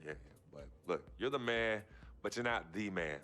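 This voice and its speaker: A man's voice: on the low side, animated, normal speed, bright, forceful tone. An American man acting a driven young basketball star in a video game cutscene, speaking in a firm, street-casual way. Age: young adult.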